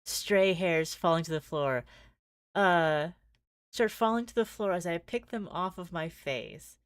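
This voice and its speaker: A woman livestreamer reading a visual novel aloud with casual asides, expressive and giggly, often stumbling and restarting. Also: lowish voice, unhurried, clear, resonant tone, no echo, studio quality.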